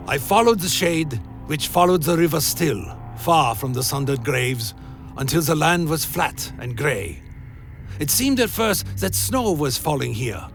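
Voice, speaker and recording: An actor playing a weary undead vampire wanderer, telling his journey in solemn, literary phrasing for a dark fantasy radio play. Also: a little high. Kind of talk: dramatic first-person scripted narration, dark fantasy radio play. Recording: music behind the voice.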